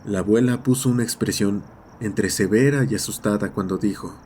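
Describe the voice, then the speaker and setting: A man's voice: lowish voice, normal speed, a little mellow, a little hiss or hum. A man reading a scripted horror story as its narrator.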